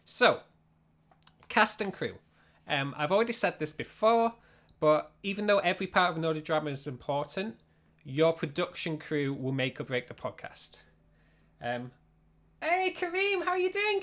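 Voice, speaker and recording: A man's voice: sits high, fairly bright tone. A man with a Yorkshire accent giving an informal, chatty livestream workshop, explaining things as he goes and repeating words for emphasis. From Yorkshire, England. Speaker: streamer teaching audio drama production.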